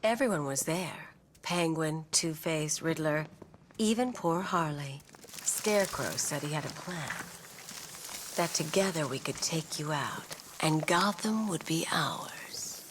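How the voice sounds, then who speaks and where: Casting: seductive game villainess, very low voice, languid, disdainful and teasing, clear background noise. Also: female voice, slow. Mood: coy, teasing. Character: aloof, seductive female plant-loving supervillain.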